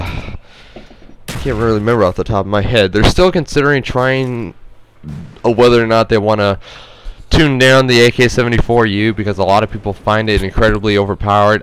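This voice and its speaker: A man's voice: fairly low pitch, a little hiss or hum. A man giving casual, rambling, unscripted game commentary, hesitant and nasal from a stuffy head cold. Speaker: gameplay commentator.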